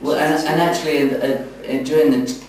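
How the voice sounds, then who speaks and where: Male yoga trainer, encouraging and halting, heard at a distance in a very reverberant room. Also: fairly high pitch, fairly even intonation, a little mellow. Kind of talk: spoken feedback in a group class. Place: echoey indoor room, from a distance. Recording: echoey room, distant mic, faint noise.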